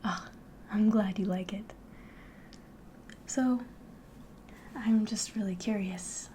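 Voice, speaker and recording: Woman playing a soft, slow-talking fantasy innkeeper. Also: medium pitch, steady tone, a little hiss or hum, close to an everyday voice. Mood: curious. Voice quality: soft, breathy, often whispering. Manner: gentle, unhurried, polite, hospitable. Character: friendly, curious female innkeeper of a fantasy tavern. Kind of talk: ASMR roleplay, softly spoken to the listener.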